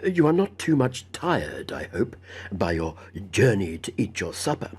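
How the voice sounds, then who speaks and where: Man putting on an eager, stammering elderly gentleman, swooping pitch. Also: very animated, measured pace, husky, heavily acted. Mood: eager, fussy. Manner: stammering, repetitive, hemming and chuckling. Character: tall, thin, eager, absent-minded elderly gentleman.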